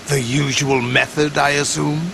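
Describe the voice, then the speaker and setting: An actor playing a commanding, calculating villain leader in a video game, with a gravelly voice and a dismissive, authoritative manner. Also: male voice, on the high side, flat delivery, a little slow. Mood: cool, commanding. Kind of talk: dramatic video game voice acting. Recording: loud vehicle and ambient noise.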